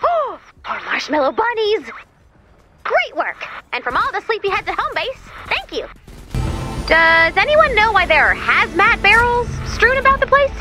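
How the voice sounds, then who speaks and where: A woman voicing a cheerful, chatty, excitable robot helper in a video game, with a perky, quirky delivery. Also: very high voice, background noise, heavily acted. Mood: bubbly, grateful, then puzzled, concerned. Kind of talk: video game mission dialogue.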